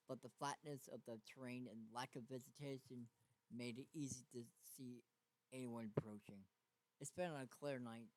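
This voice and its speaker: A man with a medium-pitched voice narrates a story in a dramatic style. His delivery is halting, with small stumbles over the text. The sound is dry, with very little room in it. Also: no room sound, low-quality recording. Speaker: fan fiction reader.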